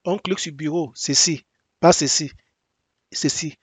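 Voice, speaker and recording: Man teaching software in halting French. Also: fairly high pitch, fairly even intonation, breathy edge, no echo. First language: English. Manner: step-by-step, repetitive instructions; halting non-native French with frequent restarts. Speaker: software tutorial presenter. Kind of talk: screen-recorded software tutorial.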